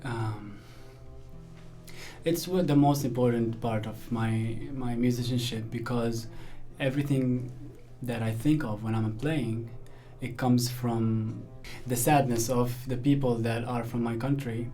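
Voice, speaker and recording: Soft-toned young Palestinian musician, hesitant. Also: male voice, mid-range voice, fairly even intonation, unhurried, faint music behind. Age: young adult. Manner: reflective, hesitant with fillers. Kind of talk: documentary interview.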